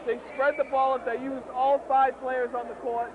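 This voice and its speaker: A man doing enthusiastic, emphatic play-by-play at an amateur basketball final, his voice hoarse and wearing out. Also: extremely high pitch, moderate pace. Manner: excitable, emphatic. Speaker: play-by-play commentator. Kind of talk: amateur league courtside commentary. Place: courtside at a basketball game. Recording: tinny, noisy outdoor recording.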